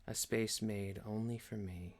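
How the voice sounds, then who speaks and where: A man performing an ASMR roleplay as a calm, genteel boss, speaking in a hushed, deliberate, soothing, often near-whispered way. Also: fairly low pitch. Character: calm, genteel male boss leading a relaxation ritual. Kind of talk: ASMR roleplay, guided relaxation.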